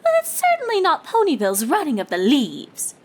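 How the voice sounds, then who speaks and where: A woman's voice: high-pitched, huge pitch swings, fairly quick, voice pushed up. A woman voicing a refined, dramatic female cartoon unicorn pony in a genteel, teasing, theatrical manner. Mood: arch, needling. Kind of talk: character voice in fan fiction reading.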